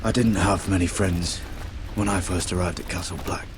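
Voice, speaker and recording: Man acting grave, low-voiced young mentor. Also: fairly even intonation, moderate pace, background noise, lightly acted. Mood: earnest. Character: grave, kindly young sworn brother and mentor. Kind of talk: video game voice acting. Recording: noticeable game ambience behind.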